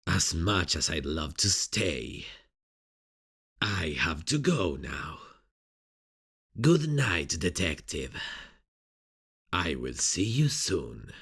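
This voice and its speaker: A sadistic villain for an audio roleplay, very slow and husky. The pitch swoops playfully as the killer mocks and teases, savoring every threat. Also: male voice, big pitch swings, a bit bright, full character voice. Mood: playful menace. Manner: mocking, teasing, drawn-out delivery with sinister chuckles. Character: sadistic, playful serial killer taunting a detective. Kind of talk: ASMR roleplay acting.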